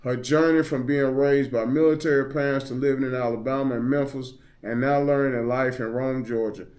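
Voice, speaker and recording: A man's voice: medium pitch, steady tone, brisk, a little mellow. A man hosting a podcast, reading a guest's bio aloud and then chatting with her in a folksy, encouraging way. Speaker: podcast host and coach. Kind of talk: podcast interview.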